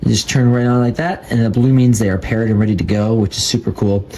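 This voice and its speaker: A man's voice: lowish voice, fairly even intonation, fast talker, warm tone, a little hiss or hum, rough recording. A man giving a casual, approving product demo in an informal, step-by-step way. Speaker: product reviewer.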